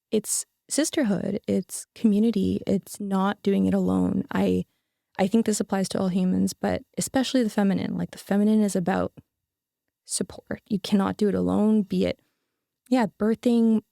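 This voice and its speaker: A woman's voice: medium pitch, gravelly, mellow, no room sound, studio quality. A young adult woman, a podcast guest, telling a personal story in an earnest, casual way with many fillers and restarts.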